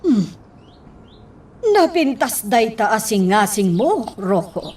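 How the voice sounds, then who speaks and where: A woman's voice: on the high side, sing-song, takes it slowly, darkish tone, husky, distant mic, heavily acted. An actress playing a fugitive's questioning female accomplice in an Ilocano radio drama. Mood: curious, agreeing.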